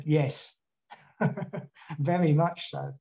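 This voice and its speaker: A man's voice: on the high side, fairly even intonation, extremely slow, creaky, dark tone. A man from the UK giving a talk and answering audience questions, with frequent restarts and fillers. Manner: rambling, many restarts. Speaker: guest speaker. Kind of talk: online talk and Q&A.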